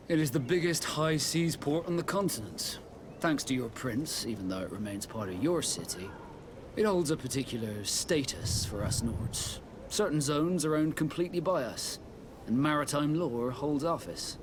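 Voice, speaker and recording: A man playing a proud, seasoned sea captain in scripted video game dialogue, speaking in a measured, formal and deliberate way. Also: mid-range voice, background noise, close to an everyday voice.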